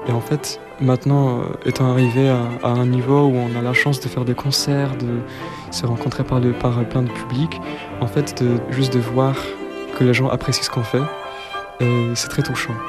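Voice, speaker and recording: A man in his twenties from Poland, a cellist answering interview questions in a second language, soft-spoken and hesitant with many fillers. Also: medium pitch, level tone, normal speed, loud music behind the voice. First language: Polish. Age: 20s.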